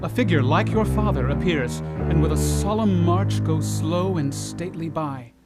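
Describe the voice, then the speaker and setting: A man's voice: fairly high pitch, normal speed, close to an everyday voice. A man playing a prince's earnest, loyal friend in a theatrical Shakespearean puppet play, respectful in manner. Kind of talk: theatrical Shakespearean stage acting for puppet show. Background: loud music.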